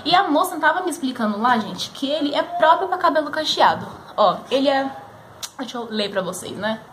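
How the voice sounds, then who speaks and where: A young Brazilian woman with a São Paulo accent speaks in a high voice. She talks casually and enthusiastically to her viewers like a beauty vlogger at home. The camera microphone picks up a faint background noise. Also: animated, brisk, a bit bright. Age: 20s. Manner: chatty, enthusiastic, addresses viewers as friends. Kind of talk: casual chatty product review to camera. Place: living room at home, indoors.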